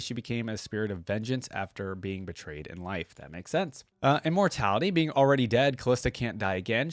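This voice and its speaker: A man's voice: medium pitch, no room sound. A man hosting a reaction video, reading a wiki aloud and adding casual asides in his own words.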